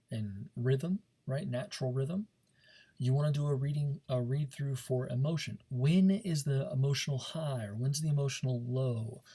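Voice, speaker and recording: Husky, mellow male teacher. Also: mid-range voice. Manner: informal, coaching, checks understanding with frequent "okay" and "right". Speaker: speech class teacher. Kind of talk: instructional class lesson.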